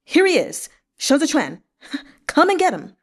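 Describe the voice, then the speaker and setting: A woman's voice: high-pitched, big pitch swings, a little slow, pitched above the performer's own voice. A woman voicing a cruel, sneering male villain with mocking, domineering delivery in a historical novel read-aloud.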